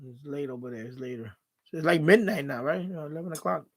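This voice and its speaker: A male live-stream host with a noticeably high voice that often breaks into creak. He talks informally and without much filter, rambling as he answers his chat. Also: fast. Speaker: livestream host. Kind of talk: casual live-stream chat, reading and answering viewer comments.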